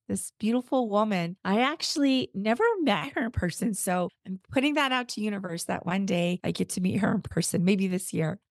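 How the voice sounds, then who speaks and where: A woman hosting a podcast, her voice hoarse from a cold, talking in a gentle, unhurried, encouraging way. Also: medium pitch, no echo, clean studio sound. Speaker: podcast host. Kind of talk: reflective podcast talk.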